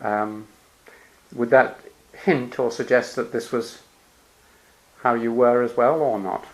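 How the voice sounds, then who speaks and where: A man interviews a guest in a mid-range voice. He asks his questions gently, often murmuring agreement and laughing softly while the guest talks. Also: mid-range voice, steady tone, slow pace, rough recording. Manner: gentle prompting with murmured "hmm" and soft laughs.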